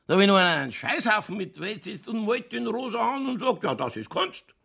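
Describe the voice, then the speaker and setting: A drunken, middle-aged police chief from a radio crime play, with a thick Lower Bavarian accent. His voice is fairly high and gravelly, with lively swings. He rants and rambles, folksy, crude and world-weary. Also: brisk, heavily acted. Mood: mocking, animated. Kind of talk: naturalistic radio crime drama dialogue.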